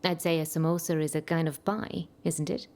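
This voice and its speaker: A woman's voice: low voice, steady tone, a little fast, darkish tone. A woman playing a breezy, self-assured, gushing visiting aunt in a radio soap.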